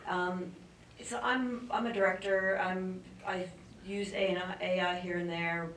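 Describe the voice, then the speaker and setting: A woman's voice: fairly low pitch, a little hiss or hum. A woman director in a panel audience, asking politely and a little unsure. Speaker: audience member, film director. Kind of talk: audience question. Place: festival panel hall. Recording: echoey room, far from mic.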